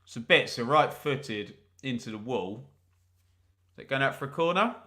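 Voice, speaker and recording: Bright sounding guy doing live match commentary, chatty and jokey with the chat. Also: medium pitch, lively intonation, normal speed. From England. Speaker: football watch-along host.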